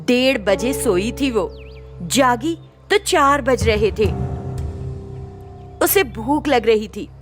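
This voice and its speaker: High-voiced woman storyteller. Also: animated, slow, pauses often, music in the background. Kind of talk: scripted story narration.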